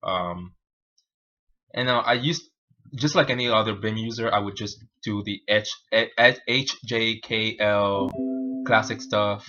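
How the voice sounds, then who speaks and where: A man whose native language is Spanish, hosting a programming livestream and thinking aloud in an informal, halting way as he explains his code. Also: on the low side, pushed and bright, dull audio, faint music behind. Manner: halting, informal, self-narrating. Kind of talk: live coding tutorial, thinking aloud.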